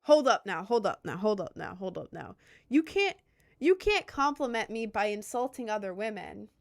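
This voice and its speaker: A woman's voice: medium pitch, big pitch swings, moderate pace, creaky. A young woman in her twenties from Maryland with a light Southern accent, chatting casually with viewers in a rambling, self-deprecating way. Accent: light Southern American mixed with New York. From Maryland, USA. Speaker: content creator. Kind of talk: casual livestream chat with viewers.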